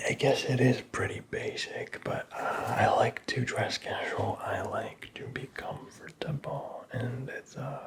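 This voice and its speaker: A man playing a flirtatious, flustered prince in an ASMR roleplay, speaking in a soft, breathy whisper with halting, teasing phrasing. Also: medium pitch. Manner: halting, teasing, awkwardly self-correcting.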